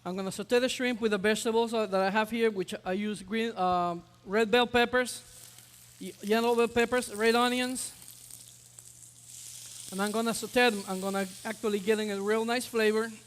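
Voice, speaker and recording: A man talks through a cooking demo, his voice sitting high for a man. He is chatty and halting, filling pauses with uh. The sound rings with long reverb from a festival hall. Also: fairly even intonation, a bit bright. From Ecuador. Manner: chatty, hesitant with many uh fillers. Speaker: chef. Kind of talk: live cooking demonstration.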